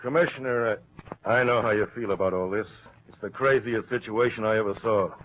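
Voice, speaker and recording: An actor playing a courteous, plain-spoken police chief in a 1940s radio crime drama, polite and measured. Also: male voice, fairly low pitch, lightly acted. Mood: matter-of-fact. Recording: muffled, noisy old-time radio recording.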